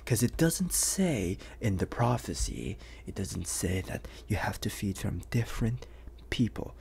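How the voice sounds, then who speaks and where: A man voicing a suave, menacing, flirtatious vampire bounty hunter in an ASMR roleplay, teasing in breathy half-whispers with lingering pauses. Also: lowish voice, very animated, a little mellow, full character voice. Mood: coaxing, explanatory. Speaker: voice actor.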